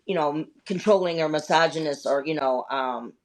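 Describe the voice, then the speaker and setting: A woman's voice: sits low, normal speed. A woman in her fifties hosting a casual chat, telling stories candidly, swearing often and rambling with many fillers. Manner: candid storytelling with frequent swearing. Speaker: host.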